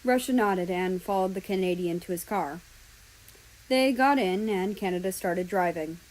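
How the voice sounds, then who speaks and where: A woman reading fan fiction aloud as an expressive narrator. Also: mid-range voice, normal speed, low-quality recording. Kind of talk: expressive storybook-style narration.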